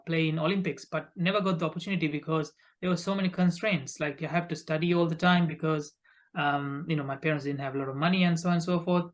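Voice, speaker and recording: A man from Sri Lanka answers interview questions conversationally, often halting and repeating words mid-phrase. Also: a little high. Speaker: podcast guest, recruitment company founder.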